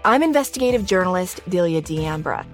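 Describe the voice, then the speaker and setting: A woman's voice: fairly low pitch, expressive, brisk. Woman journalist, true-crime narration, scripted, very varied intonation, faint music. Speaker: investigative journalist, podcast host.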